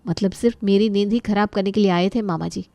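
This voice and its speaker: A woman's voice: mid-range voice, steady tone, quick pace, vocal fry, mellow, no echo, close to an everyday voice. A woman voicing a young, overworked, resentful daughter-in-law who complains in exasperation in an Indian family story.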